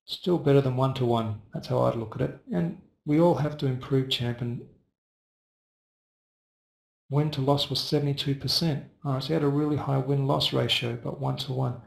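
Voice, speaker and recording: Male host, loose and chatty, talks to viewers by name; gravelly voice, roomy echo. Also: mid-range voice. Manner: chatty, rambling, addresses viewers by first name. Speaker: trading webinar host. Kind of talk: casual live webinar talk answering viewer chat.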